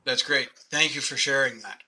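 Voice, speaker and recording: An older Canadian man in his late sixties leads a webinar over a webcam call. His voice is very bright and clear. He talks in a patient, easygoing way and pokes fun at himself. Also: mid-range voice, normal speed. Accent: Canadian English. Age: 60s. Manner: patient, conversational, self-deprecating. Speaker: webinar presenter. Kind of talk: casual online teaching. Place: home office. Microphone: webcam video call.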